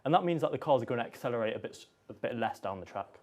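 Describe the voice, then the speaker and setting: A man's voice: medium pitch, animated, very fast, darkish tone. A man explaining car stats and build quality in an eager, explanatory way, with some restarts. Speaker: technical analyst. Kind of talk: technical commentary.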